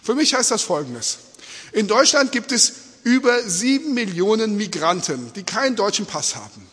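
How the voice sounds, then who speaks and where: A man with Spanish as his mother tongue preaches in an informal, questioning way. His voice sits high and swings widely in pitch, with a rough, husky grain. It rings through a reverberant hall. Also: big pitch swings, normal speed, a bit bright. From Australia-born, mother from Peru, living in Germany. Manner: engaged, questioning, often adding rhetorical check-ins. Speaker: preacher addressing a congregation. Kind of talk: informal, conversational sermon in simple words. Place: large echoing indoor hall, speaking to an audience.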